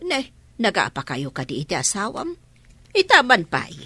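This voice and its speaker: A woman's voice: mid-range voice, wildly swooping pitch, normal speed, bright, forceful tone, breathy edge, dry recording, exaggerated voice. A woman with an Ilocano accent playing an older, sensible mother who questions and reasons, in an audio drama. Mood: questioning. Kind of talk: Ilocano radio drama acting.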